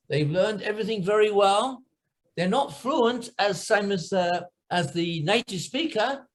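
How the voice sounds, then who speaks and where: A man teaching English learners in an online class, explaining patiently, listing examples and checking understanding over a video call. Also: high voice. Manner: patient, repeats and lists points, checks understanding with "right?".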